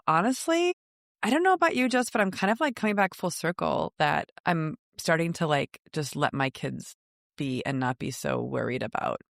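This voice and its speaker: A woman's voice: low-pitched, creaky, no room sound, studio quality. A woman in her forties co-hosting a podcast, chatting casually in a hesitant, reflective way. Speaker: podcast co-host. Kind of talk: casual chat.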